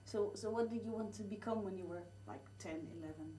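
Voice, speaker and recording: A woman with a Dutch accent interviewing a guest, starting her questions haltingly and repeating words. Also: mid-range voice, warm tone, slight background noise. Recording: roomy, distant mic.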